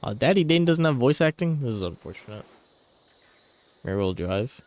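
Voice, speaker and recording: Animated young man, slangy gamer. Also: medium pitch, moderate pace. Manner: slangy, profane, muttering asides. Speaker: game streamer. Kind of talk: casual gaming commentary.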